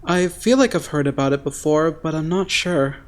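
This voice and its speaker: A woman's voice: deep voice, expressive, moderate pace. A woman acting an earnest, naive and blunt teenage boy idol in an anime-style dub. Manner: straightforward, earnest.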